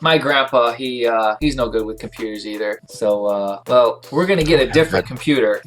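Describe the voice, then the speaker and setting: A man voicing a young, easygoing police computer helper in a tabletop game, speaking haltingly. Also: medium pitch, heavy background noise, close to an everyday voice. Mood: understanding. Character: young male police tech helper, mildly exasperated. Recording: music playing behind the voice.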